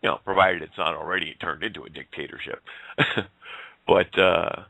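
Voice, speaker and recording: A male host of a casual call-in talk show, rambling in a folksy way and getting hoarse. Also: low voice, steady tone, moderate pace, bright, forceful tone, no room sound. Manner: rambling, folksy, laughs often.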